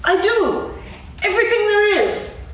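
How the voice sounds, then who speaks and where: Tigger, a bouncy young tiger. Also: male voice, extremely high pitch, sing-song, unhurried, fairly bright tone, slight background noise, full character voice. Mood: eager. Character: bouncy eager male tiger, young, boastful. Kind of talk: staged reading of a stage play. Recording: echoey hall from far off.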